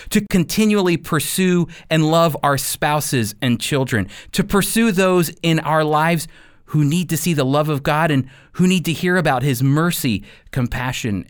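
A man with a mid-pitched voice delivers scripted Bible teaching for radio. He sounds earnest and emphatic, shaping each phrase deliberately, as a program host addressing listeners.

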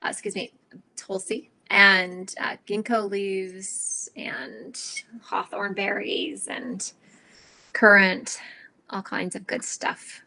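A woman co-hosting a casual chat, calmly explaining breathing practice. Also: mid-range voice, slow pace, vocal fry, poor audio.

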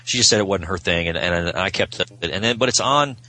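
A man's voice: low voice, fast talker, pushed and bright. A middle-aged man chatting casually and talkatively about TV in an informal way. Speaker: podcast guest.

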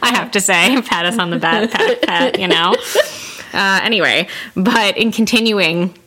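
A woman's voice: medium pitch, sharp tone. A woman co-hosting a podcast, chatting casually about books in an informal, joking way. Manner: chatty and informal, quick to joke. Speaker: podcast co-host. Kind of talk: casual book discussion chat.

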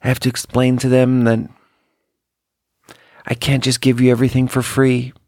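A man from Sweden, a native Swedish speaker, telling rambling personal stories in a casual, self-deprecating, halting and wry way. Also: mid-range voice, monotone, a little mellow, no room sound, clean studio sound. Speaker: podcast host.

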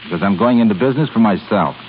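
A man's voice: on the low side, lively intonation, rapid-fire, lightly acted. A man playing a hot-tempered, blunt young ship's officer in a 1930s radio drama. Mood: curt, unimpressed. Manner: blunt, defiant, clipped. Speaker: actor in a radio play. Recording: hissy, tinny 1930s radio recording.

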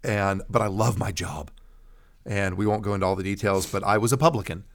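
A man's voice: fairly low pitch, brisk. A middle-aged American man giving a personal testimony in an interview, speaking emphatically like a preacher in short, repeated phrases. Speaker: pastor guest telling his testimony.

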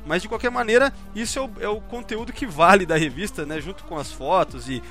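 A man's voice: high voice, brisk, sharp tone, soft background music. A man co-hosting a podcast, chatting casually and rambling with many restarts and fillers. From Brazil. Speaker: podcast co-host.

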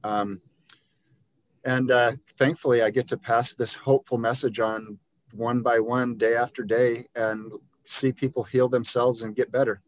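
A man's voice: mid-range voice, little pitch movement, a little slow, poor audio. A man, a podiatrist guest on an interview podcast, explaining his views in an earnest, conversational way with frequent ums and restarts. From Liberia.

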